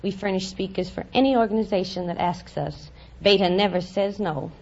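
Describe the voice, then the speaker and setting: A woman's voice: mid-range voice, brisk, very noisy. A woman from Staten Island, a housewife chatting eagerly and at length with a quiz host. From Staten Island, New York. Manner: eager, talkative. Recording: muffled 1950s radio transcription.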